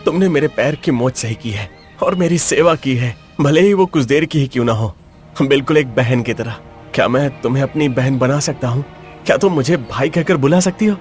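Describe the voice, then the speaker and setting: A man's voice: medium pitch, moderate pace, darkish tone, faint music behind, lightly acted. A man voicing an earnest, sorrowful young prince in a cartoon story, speaking formally and with feeling. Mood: grateful, earnest. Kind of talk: dramatized cartoon story dialogue.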